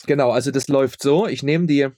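A man's voice: mid-range voice, fast, mellow, no echo, clean studio sound. A man being interviewed as a radio play maker, chatting casually and often repeating words for emphasis.

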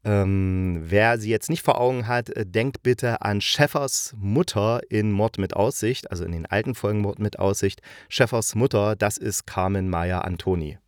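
A man's voice: lowish voice, moderate pace, hardly any pauses, no echo, clean studio sound. A man from eastern Germany hosting a review, talking in a casual, chatty and enthusiastic way. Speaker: audio drama review host.

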